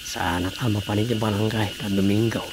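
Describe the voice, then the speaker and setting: An actor with an Ilocano accent playing a stubborn, grumbling village captain in a comedy radio drama. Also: male voice, lowish voice, steady tone, fairly quick, noisy background, natural voice.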